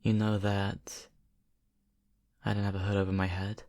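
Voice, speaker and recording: A man's voice: lowish voice, completely flat delivery, measured pace, a little mellow. A man playing a brooding young vampire confiding in his best friend in an ASMR roleplay, hesitant and intimate. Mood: hesitant confession.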